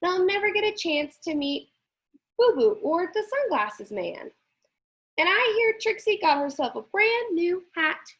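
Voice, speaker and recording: A woman voicing a wise, loving storybook grandmother who talks in an emphatic, folksy, gently scolding way. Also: extremely high pitch, very animated, unhurried, voice pushed up, exaggerated voice. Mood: wise, encouraging.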